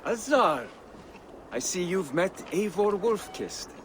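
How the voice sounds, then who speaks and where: Lively, diplomatic Viking settlement man. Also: high-pitched, slow, slight background noise, full character voice. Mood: welcoming. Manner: familiar, polite, instructive. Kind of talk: video game dramatic dialogue.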